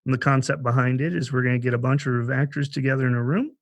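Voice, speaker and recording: A man with a mid-pitched voice talks as a podcast host. His delivery is conversational, working through ideas aloud and rewording them as he goes. The sound is dry, with very little room in it. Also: steady tone, fast talker, no echo.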